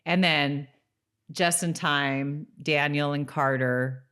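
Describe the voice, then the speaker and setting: A woman with a low-pitched voice co-hosts a rewatch podcast. She chats about a TV show in an informal, conversational way. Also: steady tone, slow, a bit bright, clean tone. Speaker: podcast co-host. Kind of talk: casual chat about a TV show, with some read-out recaps.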